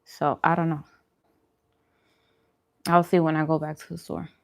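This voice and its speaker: A woman's voice: low voice, flat delivery, measured pace, creaky. A woman chatting casually and informally to viewers as she hosts an eating livestream. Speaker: mukbang vlogger and livestream host.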